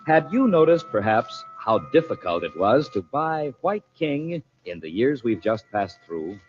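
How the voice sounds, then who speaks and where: A man announcing and pitching for a sponsor in 1940s radio style, polished, measured and persuasive. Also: big pitch swings, soft background music. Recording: dull vintage radio broadcast recording.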